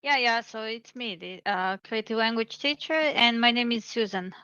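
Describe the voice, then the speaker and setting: A woman's voice: on the high side, normal speed, pushed and bright, clean tone, no echo, highs cut off. A woman from Serbia, calling in to a discussion on belief, speaking hesitantly with many fillers and restarts over a video call. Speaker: caller. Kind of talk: casual debate chat.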